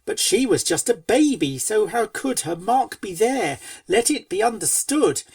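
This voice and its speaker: Woman, verse narrator, theatrical measured cadence, lively pitch movement, unhurried, dry distant recording. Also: no echo. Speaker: fan fiction reader and narrator. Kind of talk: scripted storybook narration in rhyming verse.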